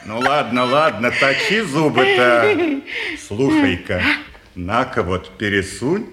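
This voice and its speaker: A man's voice: medium pitch, wildly swooping pitch, slow pace, bright, forceful tone, reverberant, full character voice. An actor playing a cheery, brash, dandyish district policeman in a Russian stage drama, bossy and bantering. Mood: brash, teasing.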